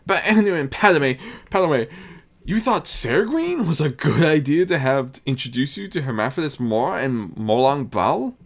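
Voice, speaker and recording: Woman putting on a lively, theatrical mad prince. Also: deep voice, measured pace, pitched above the performer's own voice, heavily acted. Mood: exasperated, nervous. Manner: proclaiming, easily flustered. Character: theatrical mad male prince, amused, wary father. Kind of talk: fan fiction story reading.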